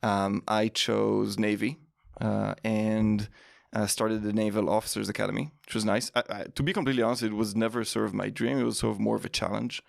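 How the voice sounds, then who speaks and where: A fortyish man with an Israeli accent and a low, deeply gravelly voice, answering a host with relaxed, hesitant pauses. The room sound is dry and close. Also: no room sound. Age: 40s. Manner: relaxed, hesitant, with many fillers. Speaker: guest, tech CEO. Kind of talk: casual interview answers.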